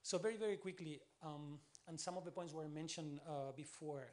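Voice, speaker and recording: A man with a Spanish accent presents at a conference, his voice sitting a little high. He speaks briskly and with energy, dotting his talk with "uh". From Spain. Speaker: panel speaker, tech specialist.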